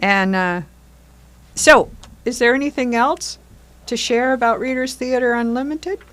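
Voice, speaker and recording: A woman's voice: on the high side, measured pace, fairly bright tone. An older woman, a community theater member, talking casually in an interview in loose, chatty explanations. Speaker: member and performer in a seniors' readers theater group.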